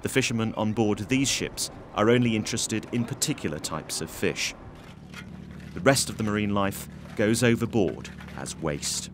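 A man giving a scripted documentary narration in a measured, even voice. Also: fairly low pitch, noisy background. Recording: voice-over with music bed. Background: music.

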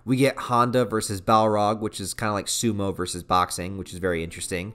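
A man's voice: on the low side, flat delivery, brisk, a bit bright. A man in his thirties with an American accent narrating a casual, opinionated review in an informal, enthusiastic, slangy way. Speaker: video reviewer. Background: background music.

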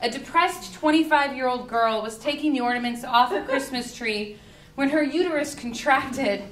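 A woman's voice: high-pitched, normal speed, fairly bright tone. A young woman reading a humorous essay aloud to a live audience with a wry, performative delivery. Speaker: reader at a book reading. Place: indoor party room with live audience. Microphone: podium microphone on a stand. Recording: roomy live event with faint noise.

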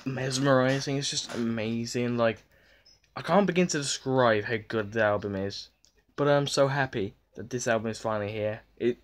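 A man in his twenties gushing in an excited reaction vlog, swinging between shouts and hushed whispers and swearing freely, filmed on a phone held at arm's length in a small bedroom. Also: medium pitch. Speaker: vlogger.

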